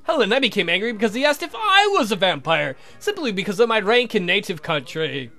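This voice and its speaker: A man's voice: high-pitched, very animated, fairly quick, creaky, bright, forceful tone, faint music behind, full character voice. A man voicing a suave, haughty vampire count from a visual novel, speaking in a formal, courtly, pompous way. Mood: formal, dry. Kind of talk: theatrical visual novel character reading.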